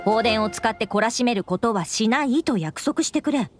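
A woman plays an earnest female knight commander in an anime game. The voice is fairly high, formal and firm, with music clearly behind it. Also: lively intonation, moderate pace, natural voice. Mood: stern.

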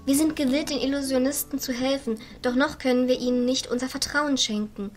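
A woman's voice: high voice, steady tone, fairly quick, faint music behind, lightly acted. A woman playing an earnest, wary fairy sorceress of nature in a scripted fantasy audio drama.

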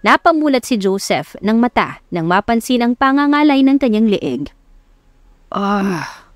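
A woman's voice: a little high, big pitch swings, normal speed, no echo, clean studio sound. A woman with a Filipino accent reading a scripted story as an audiobook narrator, in a dramatic storytelling style. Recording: background music under narration. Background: music.

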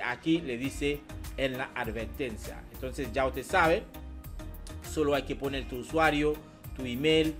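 A man's voice: medium pitch, fairly bright tone. Man chatting over faint music. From Haiti. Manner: repetitive, addresses viewers as "señores", many fillers. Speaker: channel host. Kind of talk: screen-share tutorial, talking to viewers.

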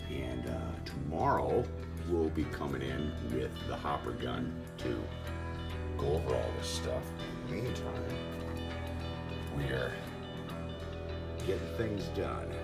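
A very deep-voiced man talks in a folksy, upbeat way, full of fillers, while filming on a phone in a big indoor workspace. Loud music plays under his voice throughout. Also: gravelly. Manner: folksy, enthusiastic, chatty with fillers. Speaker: haunted attraction builder. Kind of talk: casual how-to vlog. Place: large indoor workshop space.

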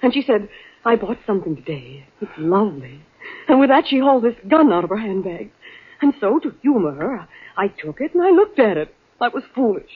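A woman playing a frightened, pleading nurse, breathless and halting, in 1940s radio melodrama style. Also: medium pitch, big pitch swings, husky. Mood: anxious, explaining. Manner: breathless, halting, repeating herself. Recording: thin, narrow 1940s radio recording.

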